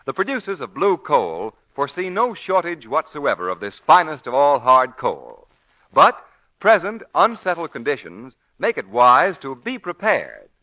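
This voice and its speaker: A male announcer from the golden age of radio, reading scripted copy. His voice is fairly high and forceful, with swooping, emphatic, polished delivery. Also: wildly swooping pitch, measured pace, rough recording. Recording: old 1940s radio broadcast.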